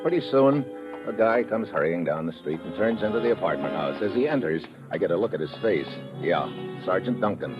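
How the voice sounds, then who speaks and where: A man's voice: low-pitched, lively intonation, fairly quick. A man acting a tough, wry American investigator in a hard-boiled, clipped golden-age radio drama style. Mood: cool, watchful. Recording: muffled old radio recording with music.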